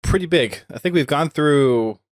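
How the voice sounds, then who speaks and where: A man's voice: fairly high pitch, animated, normal speed, no echo. A man hosting a podcast, chatting casually as he interviews guests. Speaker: podcast host.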